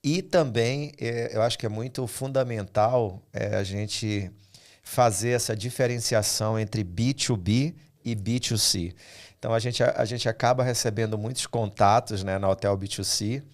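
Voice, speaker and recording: A man's voice: on the low side, normal speed. A man hosting a podcast, talking casually as he leads an interview. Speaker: podcast host.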